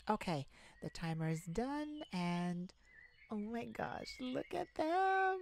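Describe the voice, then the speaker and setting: A woman's voice: fairly high pitch, big pitch swings, takes it slowly, full character voice. A woman playing a silly, affectionate, giggly and bashful young boyfriend in an ASMR romance roleplay. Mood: excited. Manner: giggly, bashful, hesitant, gushing. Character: silly, playful, affectionate young boyfriend surprising his partner. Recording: clearly noisy, ambient sounds behind.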